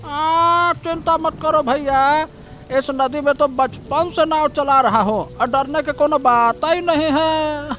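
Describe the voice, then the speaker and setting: A man's voice: very high voice, slow pace, fairly bright tone, slight background noise, full character voice. A man playing a cheerful, folksy village boatman uncle in a cartoon story, hearty in his greetings.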